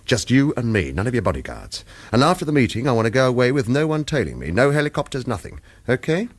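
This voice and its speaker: A man's voice: mid-range voice, big pitch swings, fast, no echo. An actor playing a wry, wary ex-agent on the run who tells his own story in a terse, hard-boiled radio thriller style. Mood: firm, urgent. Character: retired male agent turned wary hunted fugitive, wry. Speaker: lead character and first-person narrator. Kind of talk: radio thriller acting and narration.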